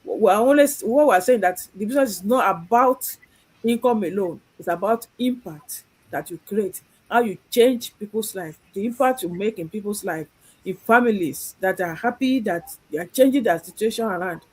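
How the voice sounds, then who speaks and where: A woman's voice: mid-range voice, normal speed, a little mellow, breathy edge, low-quality recording. A woman from Nigeria hosting a live online stream, speaking earnestly and often repeating herself. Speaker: host.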